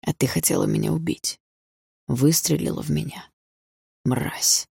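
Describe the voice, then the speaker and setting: A woman's voice: sits low, a little slow, gravelly, dark tone, slightly rough, no room sound, studio quality, exaggerated voice. A woman voicing a menacing, obsessive and smug husband with an insinuating delivery in an audiobook. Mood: angry, bitter. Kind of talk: audiobook character dialogue.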